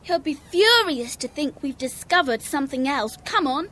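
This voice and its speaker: Excitable schoolgirl, very high pitch, sharp bright tone, children's mystery play. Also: moderate pace. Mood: excited. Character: excitable, indignant schoolgirl amateur sleuth. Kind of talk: children's audio drama acting.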